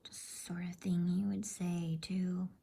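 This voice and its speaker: A woman voicing an earnest, loyal schoolboy from a bedtime storybook in a breathy whisper. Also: fairly low pitch, flat delivery, unhurried, dark tone, full character voice. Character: earnest, loyal schoolboy, protective older brother.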